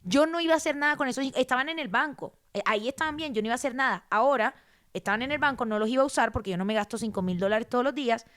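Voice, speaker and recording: A woman, explaining personal finance in a casual, animated conversation and repeating words for emphasis. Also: a little high, very fast. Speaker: guest.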